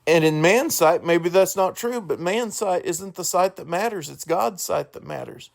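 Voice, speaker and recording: A man hosting a solo podcast in an informal, earnest way, halting and correcting himself as he goes. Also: sits high, expressive, gravelly. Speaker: podcast host.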